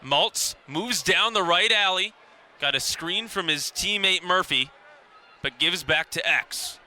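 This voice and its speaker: A man in his twenties giving live radio play-by-play in clipped, descriptive phrases that grow excited on big plays. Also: high voice, slow. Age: 20s. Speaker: play-by-play commentator. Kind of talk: live radio sports commentary. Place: outdoor lacrosse stadium. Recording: noisy outdoor broadcast with stadium ambience.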